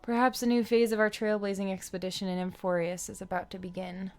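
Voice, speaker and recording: A woman's voice: mid-range voice, little pitch movement, fast talker, faint music behind. A woman livestreaming a story game, reading text aloud and chatting casually in reaction.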